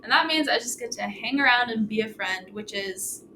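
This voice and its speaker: A woman's voice: on the high side, animated, brisk, bright, forceful tone, slight background noise. A young woman hosting a teen podcast in an upbeat, encouraging way. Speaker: podcast host and staff coordinator.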